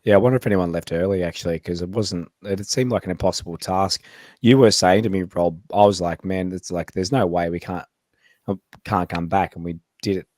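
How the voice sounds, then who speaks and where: A low-voiced man co-hosts a podcast with quick, casual chatter. He keeps the talk moving by prompting the other host. The sound is dry and close. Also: fairly even intonation, a little mellow, no room sound. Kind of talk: casual chat.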